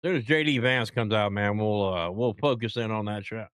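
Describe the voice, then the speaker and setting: A man's voice: fairly low pitch, fairly quick, a bit bright, smooth, no echo, clean studio sound. A man hosting a casual live stream, rambling and bantering, constantly addressing his chat with playful hype.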